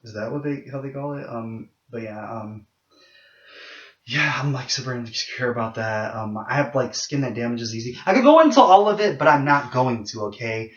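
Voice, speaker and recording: A young man with a low voice talks straight to his viewers, candid and rambling, full of ums and false starts. The sound is a little muffled and lo-fi. His voice carries the echo of a bare room. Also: lively intonation, normal speed. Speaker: vlogger. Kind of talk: casual monologue to camera.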